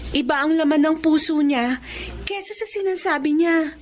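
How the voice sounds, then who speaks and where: Woman acting very high-voiced, caring young female friend. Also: moderate pace, close to an everyday voice. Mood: earnest. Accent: Filipino. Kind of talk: radio drama acting.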